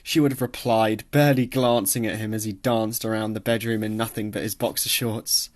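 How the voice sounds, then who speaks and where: A man's voice: fairly low pitch, little pitch movement, fairly quick. A man narrating a literary novel as an audiobook, reading expressively and acting out the dialogue.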